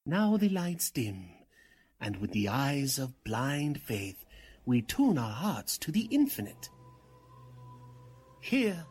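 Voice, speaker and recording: An actor playing a pompous, oily cult leader who poses as a spirit medium, speaking in grand theatrical incantations in a radio drama style. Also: male voice, medium pitch, huge pitch swings, slow pace, long pauses, a little mellow, a little hiss or hum. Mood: grandly incanting. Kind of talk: 1940s radio drama acting.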